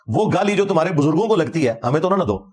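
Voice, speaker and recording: A man's voice: on the high side, lively intonation, a little mellow. A man with a Pakistani Punjabi accent giving informal religious commentary in an argumentative, sarcastic, colloquial way. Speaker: religious lecturer.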